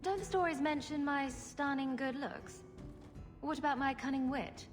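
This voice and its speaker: A woman's voice: sits high, normal speed, soft background music, lightly acted. An actress playing a self-assured female adventurer in a video game, with a dry, sardonic delivery. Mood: wry, teasing. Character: wry, self-assured female adventurer hero with quick sarcastic wit.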